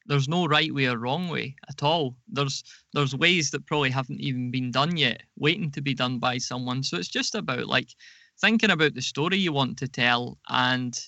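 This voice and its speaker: Creaky-voiced man in an echoey room. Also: medium pitch, moderate pace, pushed and bright. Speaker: guest audio drama producer. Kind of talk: conversational podcast interview.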